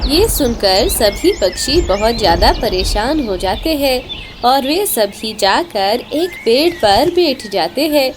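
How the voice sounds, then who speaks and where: A woman's voice: a little high, lively intonation, takes it slowly. A woman narrating a scripted children's story. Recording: clear background noise with animal, bird sounds.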